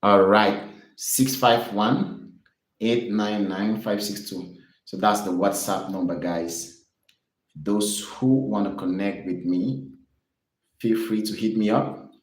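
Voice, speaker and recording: A man's voice: on the low side, steady tone, slow, pauses often, reverberant, low-quality recording. A young man from Cameroon streaming live, chatting with viewers and explaining charts in an upbeat, repetitive way. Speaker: trading streamer.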